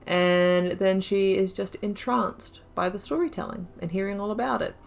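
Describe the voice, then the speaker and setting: A woman's voice: mid-range voice, moderate pace, gravelly. A woman giving casual game commentary, thinking aloud with lots of fillers as she picks dialogue options. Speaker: gaming YouTuber. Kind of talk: casual let's play commentary.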